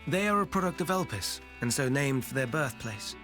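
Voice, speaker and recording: A man playing an erudite, gentle researcher in a video game, explaining things in formal, precise, archaic phrasing. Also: medium pitch, lively intonation, moderate pace, faint music behind, close to an everyday voice. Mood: calm, explanatory. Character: erudite, gentle male researcher of an ancient people. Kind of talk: scripted video game cutscene acting.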